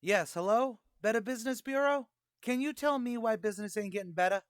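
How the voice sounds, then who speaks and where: A man's voice: sits high, brisk, no room sound, clean studio sound, lightly acted. A man playing a scheming, wisecracking tavern manager in an old-time radio comedy, talking in streetwise slang. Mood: wheedling.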